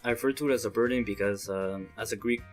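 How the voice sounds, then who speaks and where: A man's voice: fairly low pitch, over music. A man in his early twenties from Montreal, Canada, giving earnest, hesitant interview answers as a student leader. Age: early 20s. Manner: halting, hesitant phrasing. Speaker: interviewee, student association chairman.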